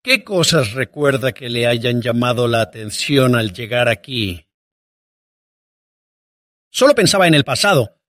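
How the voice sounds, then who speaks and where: A man's voice: medium pitch, animated, measured pace, pauses often, fairly bright tone, no room sound, clean studio sound. A man hosting a podcast interview, talking in an earnest, upbeat way as he welcomes and questions his guest. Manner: earnest, upbeat, patriotic praise. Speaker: podcast host.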